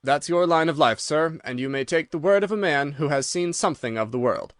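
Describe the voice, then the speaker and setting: A man's voice: on the high side, brisk, no echo, clean studio sound, lightly acted. Man playing a hearty, wary old country squire. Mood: shaken, forcing cheer. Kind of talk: old radio mystery storytelling.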